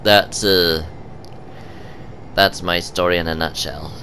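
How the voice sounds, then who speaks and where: A low-pitched man talks through his gameplay at an unhurried, halting pace, filling gaps with ums and uhs and sounding sniffly from a cold. His tone is bright and forward despite the low pitch. Faint game noise runs underneath. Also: fairly even intonation. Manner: halting, many ums and uhs, chuckles often. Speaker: gaming channel host. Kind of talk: casual gameplay commentary.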